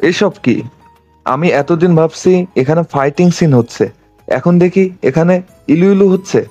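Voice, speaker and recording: A man's voice: fairly high pitch, moderate pace, dark tone, no room sound, highs cut off, faint music behind, natural voice. A man playing a bewildered young comic sidekick in an amateur romantic audio story.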